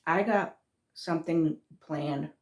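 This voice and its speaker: A woman with a thick Massachusetts accent talks in a somewhat low voice. She rambles casually as a spiritual vlogger, trailing off mid-thought and restarting words. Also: slow, a little mellow.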